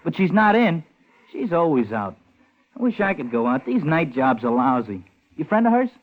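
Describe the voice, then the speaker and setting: A man's voice: a little high, very animated, normal speed. An actor playing a chatty, cocky, star-struck young hotel elevator boy in a 1950s radio drama, slangy and rambling. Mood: chatty, boastful. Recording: noisy tinny 1950s radio recording.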